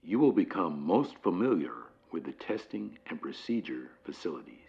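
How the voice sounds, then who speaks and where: A man playing a calm, formal corporate training guide in an analog horror video, speaking deliberately with measured pauses on a dull lo-fi recording. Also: low voice, gravelly, full character voice. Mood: formal, instructive. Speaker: narrator of fictional company training guide. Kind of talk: scripted instructional narration, analog horror.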